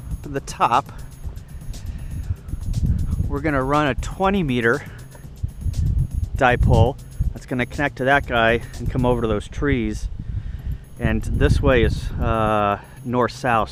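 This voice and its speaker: A man with a mid-pitched voice talks through his outdoor setup in a casual, unscripted way. He explains as he goes, thinking aloud, picked up on a handheld camera mic with music behind him. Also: measured pace, pauses often. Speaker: ham radio hobbyist giving a video tour. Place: outdoor campsite.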